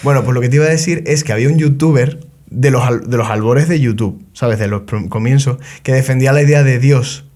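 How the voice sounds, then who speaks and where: A man's voice: medium pitch, a little fast, darkish tone. A young man, co-hosting a casual conversation and explaining ideas at length with joking, self-deprecating asides. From Canary Islands. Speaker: podcast co-host.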